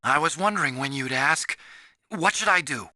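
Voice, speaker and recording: An actor voicing a timid, soft-hearted young male scientist in a video game, earnest and anxious as he explains things. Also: on the high side, lively intonation, normal speed, dry recording. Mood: brisk.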